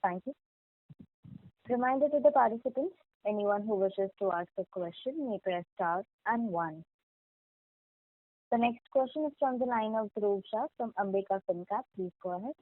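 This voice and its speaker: A woman's voice: mid-range voice, normal speed, dry recording. A woman moderating a conference call as operator, formal and polite with scripted lines. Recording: dry tinny phone line.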